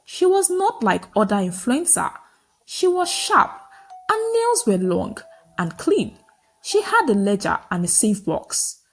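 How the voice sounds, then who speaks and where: Woman storyteller with very lively pitch. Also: unhurried. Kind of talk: scripted folktale narration.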